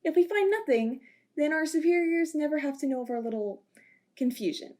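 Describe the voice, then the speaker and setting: Girl doing a bossy teasing security chief lady, kinda high voice, amateur audio drama. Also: animated, brisk, darkish tone. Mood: scheming. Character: cocky female ship security chief, teasing.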